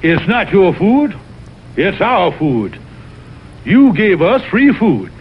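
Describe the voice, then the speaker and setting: A man's voice: high-pitched, very animated, takes it slowly, full character voice. An actor playing a blustering, scheming colonel in a vintage animal cartoon, pompous and oratorical in his arguing. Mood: indignant. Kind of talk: vintage cartoon sitcom acting. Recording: muffled, noisy vintage TV cartoon soundtrack.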